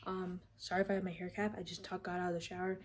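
A woman's voice: fairly low pitch, level tone, fast, very noisy. Young woman casually hosting a reaction vlog. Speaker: reaction video host. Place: room at home, indoors.

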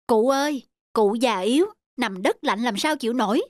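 Woman voicing a gentle young peasant man. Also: a little high, normal speed, no echo, studio quality, natural voice. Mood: polite gentle. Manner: polite and soft-spoken. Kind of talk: cartoon voice acting. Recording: clear background music.

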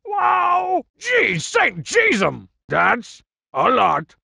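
A swaggering, scheming cartoon masked wrestler for a video game. The voice is pitched high and heavily gravelled, swooping widely as it boasts and mocks. It is petty and full of itself. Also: male voice, huge pitch swings, very slow, pushed and bright, dry recording, clean studio sound, full character voice. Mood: excited. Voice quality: gravelly, raspy. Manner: boastful, mocking, swaggering wisecracks. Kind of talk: video game character barks.

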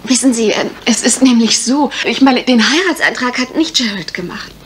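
A woman's voice: a little high, expressive, moderate pace, slight background noise, lightly acted. An actress playing a bashful but determined young woman in a German radio crime drama, hesitant and confiding.

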